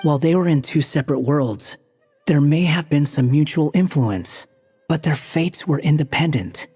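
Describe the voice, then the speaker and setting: A woman's voice: low-pitched, moderate pace, warm tone, slightly rough. A woman playing a sly, self-assured game character who explains things in a dry, teasing way. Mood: wry. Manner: dry, teasing, matter-of-fact. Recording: game dialogue with long reverb and music.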